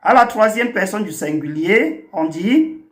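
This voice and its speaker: Slow, high-voiced male language teacher. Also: expressive, fairly bright tone. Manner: slow, deliberate, spells out words one by one. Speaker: language teacher and channel host. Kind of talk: scripted language lesson.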